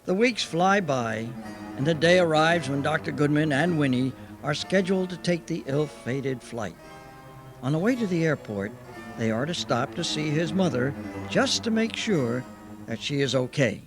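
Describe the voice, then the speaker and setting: Higher-voiced man narrating, over music. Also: lively intonation, normal speed, poor audio. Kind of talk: scripted radio drama narration.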